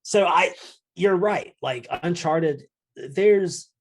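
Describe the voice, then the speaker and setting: A man's voice: sits high, very slow. A man co-hosting a podcast in casual, unscripted conversation. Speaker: show co-host.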